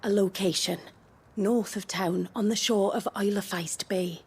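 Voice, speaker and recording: An actress playing a teasing, worldly young courtesan in a video game, with a husky, breathy voice and a languid, knowing manner. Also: female voice, mid-range voice, steady tone, moderate pace. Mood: frank. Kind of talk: dramatic video game voice acting.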